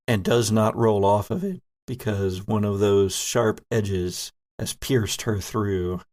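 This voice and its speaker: Slow, creaky-voiced male game master. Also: medium pitch, dry recording, clean studio sound. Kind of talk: narrating a horror tabletop role-playing game.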